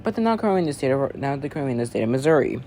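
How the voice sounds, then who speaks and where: A man's voice: mid-range voice, sing-song, very fast, dark tone, natural voice. A man playing a flustered, easily panicked emergency newsreader in an amateur alert parody, whose formal announcements lapse into rambling. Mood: formal, halting. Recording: noisy amateur home recording.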